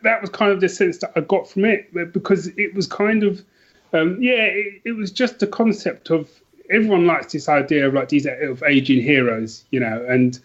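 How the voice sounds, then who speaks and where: Man, podcast guest, fairly high voice, rambling casual chat, self-effacing, slightly muffled lo-fi sound. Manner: chatty, self-deprecating, rambling asides.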